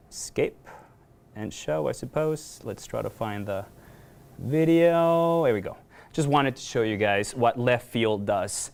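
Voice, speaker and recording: A man's voice: on the low side, vocal fry. A man from Venezuela, giving a conversational, self-deprecating conference talk in a lecture room, with frequent fillers. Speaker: guest speaker, video journalist.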